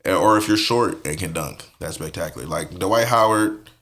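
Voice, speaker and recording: A man hosting a podcast, talking sports in loose slangy banter with loud bursts. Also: low-pitched, normal speed. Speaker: podcast host. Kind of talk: casual chat.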